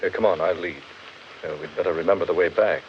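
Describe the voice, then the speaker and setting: An actor playing a stolid, reluctant gentleman guide in a measured, matter-of-fact 1940s radio horror style. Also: sits low, close to an everyday voice. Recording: muffled, noisy old 1940s radio recording.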